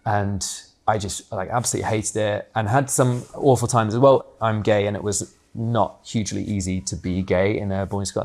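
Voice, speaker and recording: Low gravelly man, candid and chatty. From Hong Kong. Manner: candid and animated, frequent fillers like "like" and "you know", mild swearing. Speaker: guest, co-op founder. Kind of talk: reflective conversational interview.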